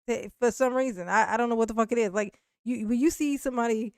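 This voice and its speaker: A woman's voice: a little high, fast talker, darkish tone, smooth, no room sound, clean studio sound. A woman in her forties chatting casually on a live stream, speaking bluntly and profanely with frequent restarts and laughs. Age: 40s.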